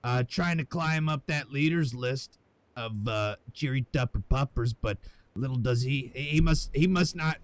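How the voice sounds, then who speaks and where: A man's voice: medium pitch, unhurried. A man calling the play-by-play of a match live, with joking, playful banter. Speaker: esports play-by-play caster. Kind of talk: live game commentary. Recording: game gunfire and engine noise under voice.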